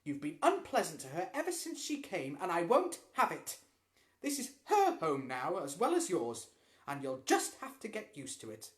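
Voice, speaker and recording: A reader voicing a fussy mother who scolds in a shrill, exclaiming way. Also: sing-song, moderate pace, natural voice. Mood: exasperated. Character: fussy, easily exasperated mother.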